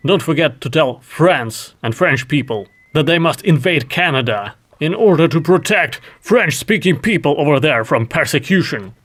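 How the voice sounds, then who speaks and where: A man from Ukraine speaks English, his being Russian. He hosts commentary in a heated, sarcastic and mocking way. His voice sits in an ordinary middle pitch. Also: fairly bright tone. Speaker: commentary host. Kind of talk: reaction commentary.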